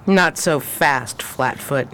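An actress playing a shrewd, steely adventuress with a sardonic, commanding manner in a 1920s crime radio drama. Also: female voice, low voice, slow, fairly bright tone. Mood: cool, threatening.

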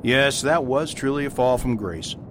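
A man playing a calm, courteous priest detective who speaks in a measured, polite and persistent way, in an amateur radio drama. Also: medium pitch, natural voice. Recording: noisy recording with steady hiss.

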